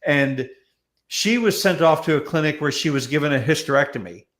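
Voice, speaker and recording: A man's voice: a little high, expressive, fairly quick, a bit bright. A man chatting casually and comedically as a talk show guest, often stammering and repeating words as he goes. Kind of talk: casual comedic panel chat.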